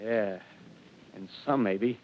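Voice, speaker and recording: An actor playing a fanatical, scheming American soldier in a 1930s radio drama, talking in a rough, insistent, rambling way. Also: male voice, lowish voice, slow. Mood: scornful. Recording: dull, lo-fi 1930s radio broadcast.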